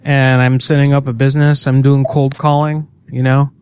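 A man's voice: medium pitch, little pitch movement, moderate pace, a little mellow, clean tone. A man making a prank call, talking in a crude, mocking fake-salesman patter. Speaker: prank caller.

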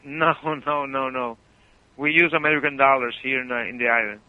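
A man's voice: mid-range voice, steady tone, normal speed, dry recording. A Spanish-accented man, a native Spanish speaker, doing customer service talk on a muffled telephone line. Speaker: phone operator at a casino.

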